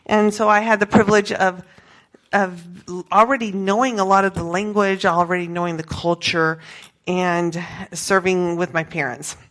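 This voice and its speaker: A middle-aged woman with an American accent gives an informal talk to a group as a missionary, conversational and halting, often correcting herself. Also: on the low side, steady tone, a bit bright. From grew up in Peru as an American missionary kid. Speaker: missionary speaker giving a presentation.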